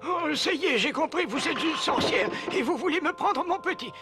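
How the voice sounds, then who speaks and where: A man's voice: very high voice, animated, moderate pace, over music. A man playing a proud, suspicious old man in a French cartoon dub, indignant and repeating himself. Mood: angry accusing. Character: grumpy, proud, suspicious old woodcarver father.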